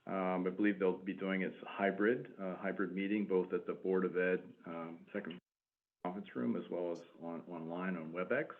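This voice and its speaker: A man's voice: low voice, fairly even intonation, moderate pace. A man on a town committee giving a businesslike update in a meeting. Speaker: committee member. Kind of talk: meeting discussion. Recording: tinny line with faint hiss.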